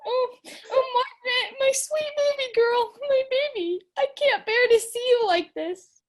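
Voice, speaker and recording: A woman's voice: very high voice, very animated, slow pace, fairly bright tone, full character voice. A young woman doing a frantic grieving mother. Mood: sobbing. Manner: stammering, sobbing, doting then furious. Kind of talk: amateur audio drama.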